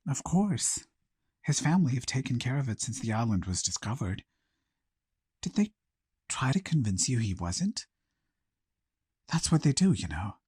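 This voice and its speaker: An actor playing an outcast man called mad by his island, a wary survivor with a husky, halting delivery in an audio drama. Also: medium pitch, sing-song, darkish tone, no room sound, clean studio sound. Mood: agitated.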